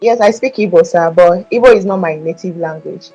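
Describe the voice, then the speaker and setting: Young nigerian woman, soft-spoken, polite, hesitant, video call, faint music behind. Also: fairly low pitch, a little fast, breathy edge, rough recording. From Ebonyi State, Nigeria. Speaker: guest. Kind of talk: interview answers.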